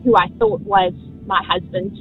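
A woman's voice: medium pitch, steady tone, normal speed, soft background music. A woman in her forties with a South African accent, calling in to a radio show and telling a story with many hesitations. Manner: hesitant, many ums, laughing. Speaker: radio caller. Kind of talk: phone-in storytelling. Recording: dull phone line.

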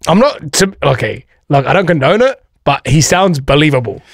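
A man chats in a relaxed, slangy way. His slightly high voice swings widely in pitch, and the recording is close and dry. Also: moderate pace, creaky, no room sound. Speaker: podcast host. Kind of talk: casual podcast chat.

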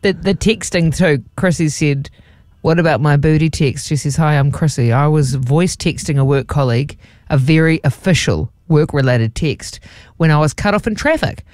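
A woman's voice: low voice, moderate pace, darkish tone, no echo, studio quality. A woman co-hosting a radio show, chatting casually and reading out listener stories. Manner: chatty, reacts with gasps and laughter. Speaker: radio co-host.

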